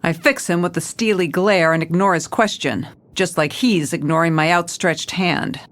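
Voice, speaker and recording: A woman's voice: fairly low pitch, moderate pace, natural voice. An actress playing a hard-boiled, weary female police commissioner in a noir audio drama, with a wry, clipped delivery. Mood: wry, defiant. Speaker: narrator and lead character.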